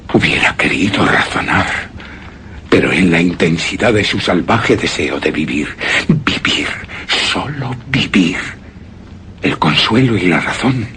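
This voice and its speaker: An actor playing a grieving, obsessed widower in a suspense and horror radio drama, with a solemn, halting, brooding delivery that drops into whispers. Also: male voice, sits low, pushed and bright, a little hiss or hum, exaggerated voice. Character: grieving, obsessed widower recounting his beloved's death. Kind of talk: Spanish-language suspense and horror radio drama, theatrical monologue.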